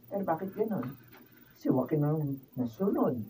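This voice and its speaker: Filipino radio drama mother: wary, fretful, very animated pitch, faint background noise. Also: moderate pace. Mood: suspicious. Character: suspicious, anxious mother recovering from illness. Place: radio drama recording session. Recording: roomy far mic, faint noise.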